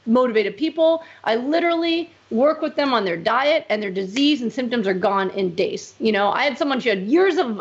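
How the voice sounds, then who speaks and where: Emphatic woman doctor on a lo-fi line. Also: a little high. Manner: emphatic, talks in quick fragments, frequent "like" and "right?". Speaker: physician guest. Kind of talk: casual interview conversation.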